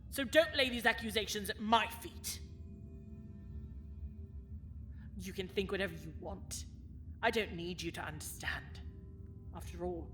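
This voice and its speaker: A woman's voice: mid-range voice, close to an everyday voice. A woman playing a cold, bitter villainess who rules a dungeon, speaking with haughty, theatrical menace in a fantasy audio drama. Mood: wounded, bitter. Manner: haughty, menacing, theatrical, swinging from hushed to forceful.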